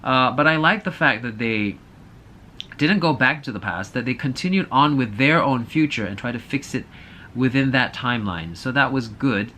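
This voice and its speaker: Bright-voiced man giving a casual review. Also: mid-range voice, expressive, moderate pace, slight background noise. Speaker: movie reviewer host. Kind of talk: casual opinionated review to camera.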